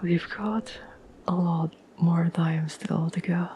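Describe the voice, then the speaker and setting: A woman playing a tender, devoted girlfriend in a whispered ASMR roleplay, speaking softly and gently with earnest, halting reassurance. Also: fairly low pitch, fairly even intonation, a little hiss or hum. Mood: gentle, apologetic. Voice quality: soft, breathy whisper.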